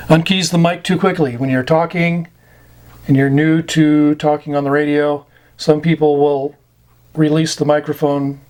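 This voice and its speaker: An American man giving an informal instructional talk, plain-spoken and pausing between short phrases. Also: on the high side. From United States. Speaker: amateur radio instructor. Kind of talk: informal slide lesson explaining radio slang.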